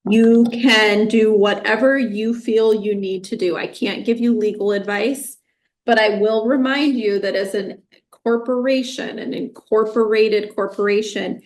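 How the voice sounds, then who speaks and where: A woman with an American accent speaks from the bench as a judge. Her voice is of medium pitch. She is formal and measured, explaining rulings firmly in unscripted courtroom speech. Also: steady tone.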